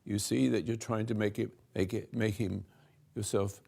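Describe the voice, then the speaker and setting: An older man slowly giving a rambling spiritual talk to a room, halting and restarting, swinging between soft and loud. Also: on the low side, little pitch movement, creaky. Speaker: spiritual teacher hosting a talk.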